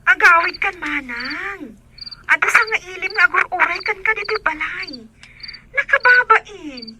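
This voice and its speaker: A woman's voice: very high-pitched, lively intonation, a little slow. A woman acting as a fretful, nagging younger sister in an audio drama, with an Ilocano accent. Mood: nagging, teasing. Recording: very noisy, clicks, crickets and frogs.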